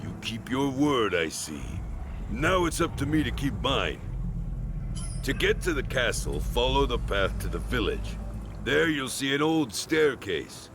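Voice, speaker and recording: An actor playing a gruff but fair castle gate guard in a video game, with a theatrical, commanding delivery. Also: male voice, mid-range voice, very animated, a little slow, background noise. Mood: pleased. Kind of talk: video game character acting. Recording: music behind the voice.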